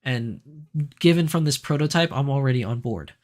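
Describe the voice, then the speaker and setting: A man hosting a casual livestream, chatting and reading viewer comments, his voice raspier than usual because he is sick. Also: mid-range voice, moderate pace. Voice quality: raspy. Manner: chatty, animated, laughs easily, frequent fillers. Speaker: livestream host.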